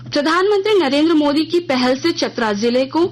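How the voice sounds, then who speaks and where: A woman's voice: sits high, lively intonation, normal speed. An Indian woman reading a formal, scripted radio news bulletin as the news reader.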